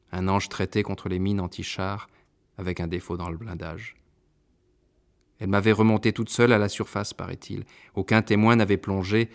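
A man reads a first-person novel aloud in a very low, deep voice. His delivery is measured and introspective, steady from one sentence to the next. He sounds like an audiobook narrator.

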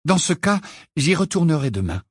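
A man's voice: high voice, very animated, moderate pace, no room sound, clean studio sound, pitched above the performer's own voice. A man voicing an earnest, anxious, bossy teenage schoolgirl in a storybook, urgent and insistent. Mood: determined.

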